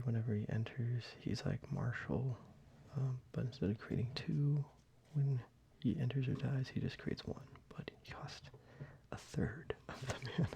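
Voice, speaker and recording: Gravelly soft-whispering man. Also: medium pitch. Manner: soft-spoken, mostly whispered, casual with fillers. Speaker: ASMR creator. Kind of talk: soft-spoken ASMR card showcase.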